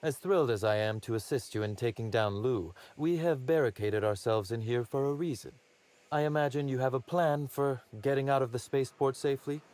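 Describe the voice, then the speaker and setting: An actor playing a wry, polished boss of a secretive outfit in a video game, speaking with formal courtesy. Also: male voice, mid-range voice, fairly quick, a little mellow, lightly acted. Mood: businesslike. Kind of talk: conversational video game acting.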